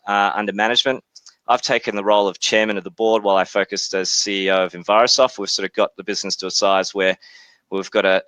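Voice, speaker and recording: A man in his forties with an Australian accent, politely presenting his software company in a webinar, speaking in a measured way with frequent hesitations. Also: fairly low pitch, clear, resonant tone, no room sound, low-quality recording.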